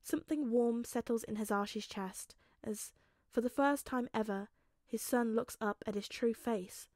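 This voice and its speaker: A woman reading fiction aloud as narrator, expressive and dramatic, with emphatic pauses. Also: on the high side, no echo, studio quality. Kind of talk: expressive scripted story narration.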